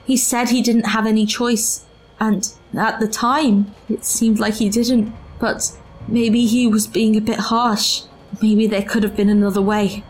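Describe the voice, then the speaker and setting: A woman's voice: a little high, flat delivery, a little slow, slight background noise, natural voice. An actress in an audio drama playing an earnest, loyal woman from the distant past, formal, hesitant and thoughtful. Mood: troubled, doubtful. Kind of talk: full-cast audio drama acting.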